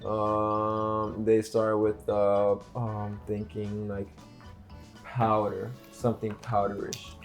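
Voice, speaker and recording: A man's voice: lowish voice, monotone, slow pace, soft background music. Boastful playful young man vlogging. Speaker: vlog host doing makeup blindfolded. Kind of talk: casual joking vlog chat.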